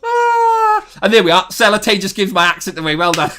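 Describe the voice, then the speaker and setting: A man's voice: high voice, huge pitch swings, normal speed, noisy background. A young man hosting a live stream, chatting casually with viewers and rambling with lots of ums, perking up when people join. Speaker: streamer hosting a game development talk. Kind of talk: casual live stream chat, reading chat messages aloud.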